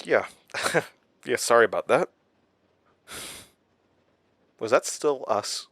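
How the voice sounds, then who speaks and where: An actor playing a haunted, weary, wry man who confides his story haltingly in a horror audio drama. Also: medium pitch, lively intonation, a bit bright, no echo. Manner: hesitant, confessional, halting. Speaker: lead character and narrator of a horror audio drama. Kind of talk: horror audio drama acting, narration and intimate dialogue.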